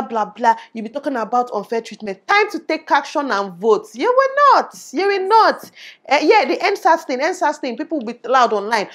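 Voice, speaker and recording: A young woman from Nigeria with a Nigerian accent, speaking in a small but loud voice, giving her opinions in a casual vlog with animated delivery. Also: sits high. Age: young adult. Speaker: YouTuber. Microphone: lavalier.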